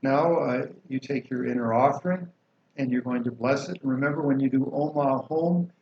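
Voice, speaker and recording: A man teaching a Buddhist practice, calmly explaining and reciting liturgy with slow chanted mantras. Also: mid-range voice. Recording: roomy, dull lo-fi recording with faint hiss.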